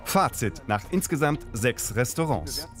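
Lively male documentary narrator. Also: medium pitch, measured pace, music in the background. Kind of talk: scripted narration.

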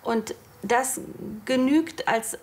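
A woman teacher speaking in an interview in an earnest, deliberate way. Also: high-pitched, darkish tone.

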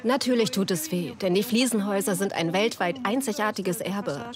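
A woman's voice: mid-range voice, normal speed, a crowd in the background, natural voice. A woman providing the voice-over for an earnest female tile preserver in a documentary interview. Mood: pained.

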